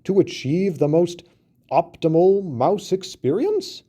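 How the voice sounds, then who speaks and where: A man's voice: high-pitched, animated, slow, warm tone, pitched above the performer's own voice, exaggerated voice. A man voicing a well-meaning male elephant who explains himself patiently and reasonably. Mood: persuasive.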